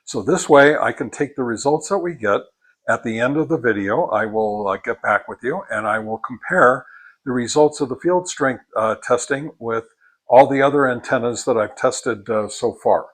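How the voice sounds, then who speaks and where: An older American man giving a casual, unhurried product review, explaining things step by step. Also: mid-range voice, a bit bright. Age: older, 60s or above.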